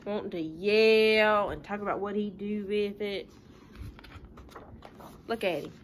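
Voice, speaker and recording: A woman's voice: mid-range voice, takes it slowly, a little hiss or hum. A young woman, talking informally to pupils and parents in a folksy, plain-spoken way with rhetorical questions. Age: young adult. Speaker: schoolteacher. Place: living room at home.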